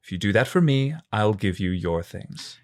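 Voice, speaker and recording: A composed male vampire boss as a man acts him talks in a lowish voice. He is measured, authoritative and businesslike, in the manner of an audio drama. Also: lively intonation. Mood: cool, commanding.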